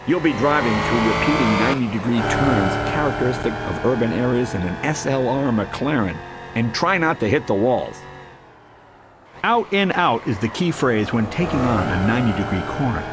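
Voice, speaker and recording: A man reading scripted instructional voice-over for a racing game in a clear, measured manner. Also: mid-range voice, animated. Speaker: game tutorial narrator. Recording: loud car engine noise over voice. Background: race car engines and driving sounds.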